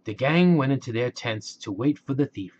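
A man's voice: medium pitch, lively intonation, moderate pace, darkish tone, dull audio. A man narrating a children's storybook aloud.

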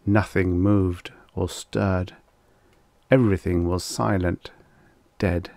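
A man reads a science fiction audiobook in a low voice with a creaky edge. His delivery is measured and deliberate, and the sound is very dry and close. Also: fairly even intonation, a little mellow, no room sound. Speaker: audiobook narrator. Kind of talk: scripted narration of vintage science fiction story.

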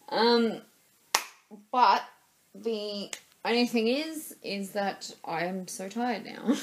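A woman in her thirties with an Australian accent, chatting casually to her webcam in a small room, rambling with lots of fillers and repeats, her nose stuffy and her throat sore. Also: lowish voice. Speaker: vlogger. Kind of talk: casual vlog chat. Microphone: webcam at desk level.

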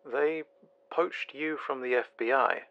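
A man playing a slow, weary, guarded doctor. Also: medium pitch, gravelly, dry recording, dull audio. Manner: terse, sighing, evasive. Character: weary, guarded male doctor working late nights. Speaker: actor in an audio drama. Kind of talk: low-key naturalistic audio drama dialogue.

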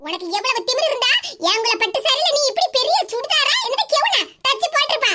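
A woman playing a quick-tempered older mother-in-law who scolds sharply. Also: extremely high pitch, lively intonation, very noisy. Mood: scolding. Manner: sharp, scolding, fast-talking.

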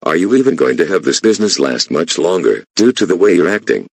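A man's voice: low voice, very animated, moderate pace, vocal fry, husky, no echo, full character voice. A man voicing a stressed, defiant employee who whines and complains. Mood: defensive. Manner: whining, complaining. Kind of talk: amateur voice acting.

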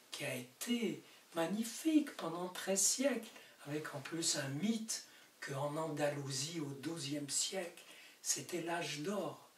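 An older man from Morocco speaks slowly and deliberately, his voice carrying the room's reverb. He sits back from the camera in a book-lined study, and light hiss runs under the audio. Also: fairly high pitch, recorded from a distance. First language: Arabic. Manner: animated, gesturing, rising to emphatic peaks. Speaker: author. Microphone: camera mic from a distance.

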